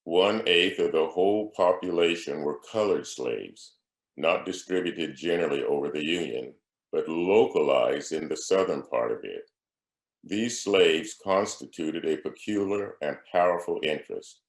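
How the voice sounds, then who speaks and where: Super deep voiced man reciting an old speech, grave and really slow, echoey room. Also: lively intonation. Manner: measured, grave, oratorical. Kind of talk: solemn recitation of a historic political speech. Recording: echoing, reverberant room.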